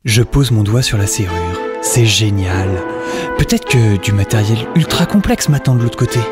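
Male actor young wry hero, animated intonation, audio drama narration and dialogue, prominent music. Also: lowish voice, moderate pace, lightly acted. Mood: excited.

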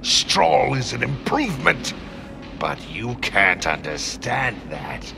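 Very gravelly mutant brute. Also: male voice, mid-range voice, big pitch swings, slow, exaggerated voice. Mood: menacing. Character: menacing virus-mutated brute, hostile and contemptuous, male. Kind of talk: video game character dialogue. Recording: game music behind the voice.

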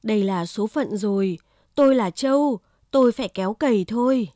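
A woman's voice: fairly high pitch, moderate pace, warm tone, dry recording, clean studio sound, natural voice. A woman voicing a humble, weary, naive plough buffalo in a cartoon fable. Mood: resigned. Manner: resigned, meek.

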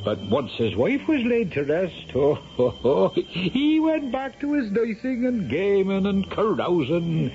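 An actor with an Irish accent playing a roguish, blustering storyteller knight in a theatrical radio drama style. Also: male voice, sits high, big pitch swings, unhurried, hardly any pauses, dark tone, full character voice. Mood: lively storytelling. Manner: theatrical, stammering when agitated. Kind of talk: 1970s radio drama acting. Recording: dull, noisy vintage radio recording.

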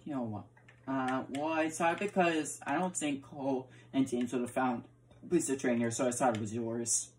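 Very low-voiced woman, stammering game chatter. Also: steady tone, moderate pace, a little mellow, slight background noise. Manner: stammering, repeats words often. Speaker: gamer role-playing in a block-building game. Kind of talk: casual role-play chat while playing. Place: indoor room, filming a TV screen.

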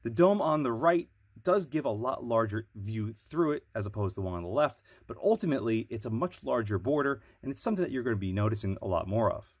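Man, nasal with a cold, husky tone, dry close sound, measured reviewer delivery. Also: mid-range voice, lively intonation, dry recording. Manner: measured, explanatory. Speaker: product reviewer. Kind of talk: product review voice-over.

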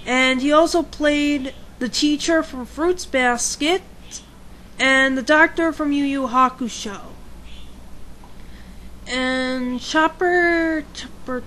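A woman with a high voice narrates a homemade fan announcement. She speaks slowly and haltingly, self-deprecating and unsure, letting phrases trail off. A faint noise sits behind her on what sounds like a home computer microphone. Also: a bit bright, rough recording.

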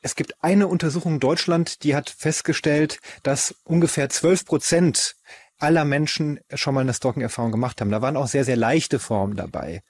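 A man's voice: fairly high pitch, moderate pace, a little mellow, slightly rough. A man from explaining as an expert in an interview, matter-of-fact and didactic. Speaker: expert commentator on stalking.

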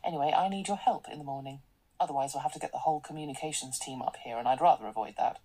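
A commanding businesswoman in a radio play. Her voice sits low with a husky edge. She speaks in a brisk, polished, assertive way, cool and sure of herself. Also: dark tone, poor audio. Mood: brisk, probing. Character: brisk, commanding corporate businesswoman boss, cool and self-assured. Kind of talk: radio drama acting.

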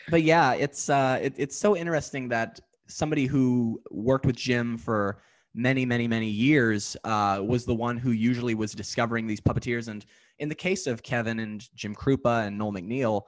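A man's voice: mid-range voice, normal speed. A young man hosting an interview podcast, friendly and a bit hesitant with many fillers. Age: young adult. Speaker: podcast host. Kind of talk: casual interview chat.

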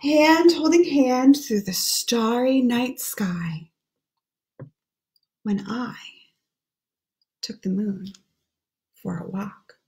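Slow, high-pitched woman reading a picture book. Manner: gentle, explaining pictures between read lines. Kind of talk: children's picture book read-aloud. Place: small room at home.

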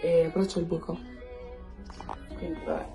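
A young woman talks casually into a phone camera held at arm's length inside her apartment. She chats to her viewers as she goes about her day. Music plays clearly underneath her voice. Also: lowish voice, level tone, moderate pace, mellow. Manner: chatty, addresses viewers as "raga". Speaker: lifestyle vlogger. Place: apartment, indoors. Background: background music.

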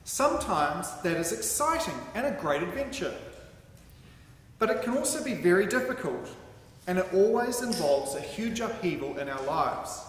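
A man reading a sermon aloud from a script in a deliberate, emphatic way, standing at a microphone in a large hall. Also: sits high, sing-song. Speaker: sermon reader. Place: large hall with curtained stage, indoors. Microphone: microphone on a stand at a lectern. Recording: very echoey hall with some background noise.

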